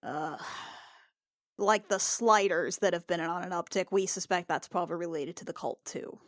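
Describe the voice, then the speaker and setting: A woman's voice: lowish voice, normal speed, a bit bright, no room sound, clean studio sound. A woman playing a tabletop role-playing game, talking informally and casually in character.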